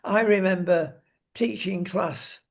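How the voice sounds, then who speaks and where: A woman's voice: mid-range voice, slow pace. An older woman with a British accent hosting a casual opinion chat in a wry, exclamatory way.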